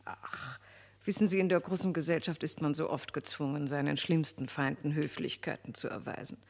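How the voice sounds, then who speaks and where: An actress playing an aristocratic society lady in a German radio drama, flattering, amused and calculating, with theatrical, coquettish emphasis. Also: deep voice, husky, full character voice. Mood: soothing, condescending.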